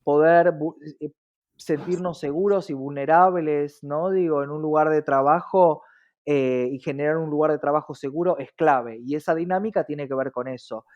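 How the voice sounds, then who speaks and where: A man's voice: fairly high pitch, moderate pace, dull audio. A man with an Argentine accent talking reflectively in a conversational interview, often checking in with short tag questions.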